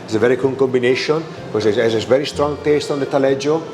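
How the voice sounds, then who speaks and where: A man's voice: medium pitch, fast talker. A man from Italy with an Italian accent, a restaurant owner answering interview questions with many fillers and restarts.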